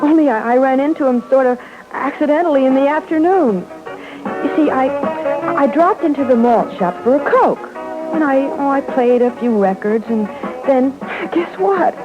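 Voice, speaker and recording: A woman playing a naive, gushing teenage girl who tells her story haltingly, in the style of old radio drama. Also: high voice. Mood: chatty, nervous. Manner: hesitant, breaking off and restarting. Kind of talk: 1960s radio drama acting. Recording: dull vintage radio, music behind.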